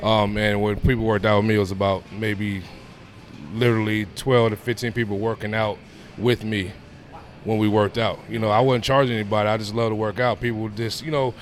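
A man in his thirties with a fairly low voice speaks in a casual interview as a personal trainer. His talk is informal and conversational. Some background noise sits behind him. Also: a little fast. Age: 30s. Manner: informal, peppers talk with "man" and "you know". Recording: clear steady background noise.

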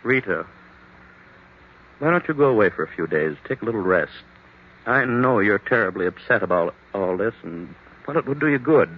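A man's voice: fairly low pitch, animated, normal speed, long pauses, breathy edge. A male actor playing a confused, forgetful, apologetic husband in an old-time radio mystery, speaking in a hesitant, faltering way. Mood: weary, apologetic. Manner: hesitant, faltering, repeats himself. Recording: tinny, muffled old radio broadcast.

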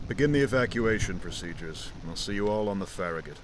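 An actor playing a commanding, businesslike admiral in an audio drama, speaking with formal authority over background noise. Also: male voice, lowish voice, expressive, fast talker, close to an everyday voice. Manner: authoritative, formal, clipped orders.

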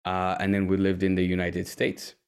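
Young guy from uganda talking about his music, kinda low voice, lots of ums. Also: completely flat delivery, moderate pace, clean tone. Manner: easygoing, rambling, frequent um and uh. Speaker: musician guest. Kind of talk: casual interview chat.

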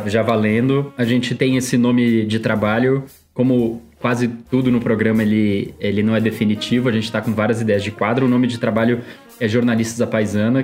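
A man's voice: on the low side, a little mellow. Brazilian man with a São Paulo accent, joking. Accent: São Paulo Portuguese. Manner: hesitant, self-deprecating, many restarts and fillers. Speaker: podcast co-host. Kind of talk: casual chat.

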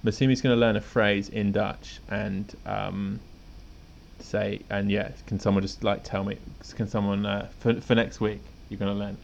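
Gravelly low-voiced male podcast host. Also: little pitch movement, moderate pace, a little mellow, muffled recording, slight background noise. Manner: laid-back, rambling, chuckles often. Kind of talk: casual chat.

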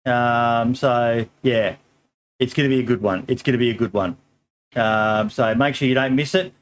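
A middle-aged man hosts a relaxed podcast with a slightly hoarse voice. He talks in an easygoing, rambling way, filling pauses with ums and calling people mate. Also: mid-range voice. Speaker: podcast host. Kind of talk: casual podcast chat.

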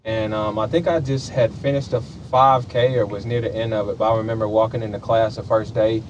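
A man's voice: fairly low pitch, fairly even intonation, a little fast, dull audio, a little hiss or hum. A man in his late twenties hosting a podcast in casual conversation. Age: late 20s. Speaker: podcast host. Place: podcast studio.

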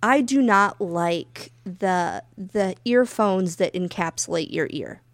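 A woman co-hosting a casual podcast, talking very loudly and telling stories with lively, profane energy. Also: on the low side, slow pace, no echo. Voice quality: very loud voice. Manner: animated, profane storytelling. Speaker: podcast co-host.

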